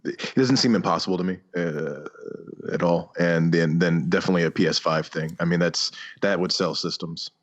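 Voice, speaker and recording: A man with a deep, low voice chats casually as a podcast co-host. He speaks haltingly, often repeating words. The audio is a little dull. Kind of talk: casual gaming chat. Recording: slightly dull, muffled audio.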